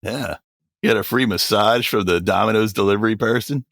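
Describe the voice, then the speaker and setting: A man's voice: lowish voice, a little fast, gravelly, a bit bright, no echo, studio quality. A man in his forties co-hosting a podcast, joking bluntly and swearing casually. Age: 40s. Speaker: podcast co-host. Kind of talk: casual chat.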